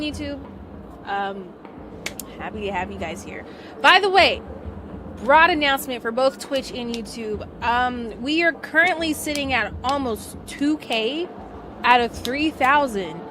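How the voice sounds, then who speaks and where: A woman's voice: fairly high pitch, noisy background. A woman live streamer chatting casually and slangily to her viewers.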